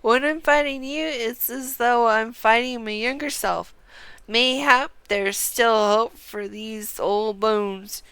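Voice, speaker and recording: Woman voicing a proud old brawler, loud and declamatory. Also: a little high, steady tone, slow, clear, resonant tone, dry recording, pitched above the performer's own voice. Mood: rousing, determined. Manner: archaic, declamatory. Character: proud old male retired brawler, gruff and stirred. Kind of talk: reading game dialogue aloud in character.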